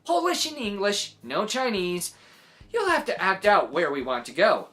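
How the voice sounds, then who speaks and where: Eccentric aunt, acted by a woman, excitable tall-tale teller for a children's story, very animated, emphatic. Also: mid-range voice, voice pushed up. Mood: brisk, emphatic. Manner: dramatic, emphatic, drawn-out delivery.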